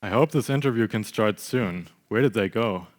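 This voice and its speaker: A man's voice: on the low side, normal speed, close to an everyday voice. A young man reading the part of a detached, sceptical Lebanese outsider in a staged play reading. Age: young adult.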